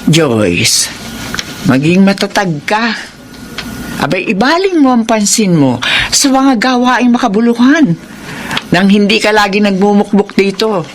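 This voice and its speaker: A woman's voice: mid-range voice, measured pace, long pauses. A steadying older mother in a classic radio play. She counsels patiently and firmly. Broadcast hiss lies under her voice. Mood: counseling. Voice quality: calm advising manner. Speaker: actor in a radio drama. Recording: noisy radio recording.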